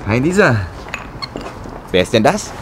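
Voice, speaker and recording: An easygoing German flatmate. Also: male voice, mid-range voice, unhurried, noisy background. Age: 20s. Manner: relaxed, hesitant fillers. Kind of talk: scripted German-learning drama.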